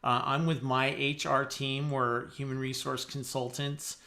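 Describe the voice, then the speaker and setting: A man gives a casual business introduction. His voice is mid-pitched and bright, with little melodic movement. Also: flat delivery, unhurried. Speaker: human resources consultant pitching. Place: video call.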